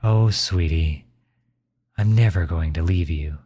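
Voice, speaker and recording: Man playing a caring middle-aged father, deep voice, unhurried, tender, reassuring, ASMR roleplay. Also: husky, exaggerated voice. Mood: tender, encouraging. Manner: tender, reassuring, softly chuckling. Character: gentle, caring middle-aged father comforting his child. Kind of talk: ASMR roleplay, intimate acted monologue.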